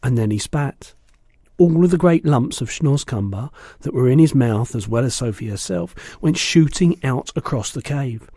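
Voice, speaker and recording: A man reading a children's storybook aloud in an expressive narrating style. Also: mid-range voice, normal speed, dry recording, studio quality. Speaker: storybook reader.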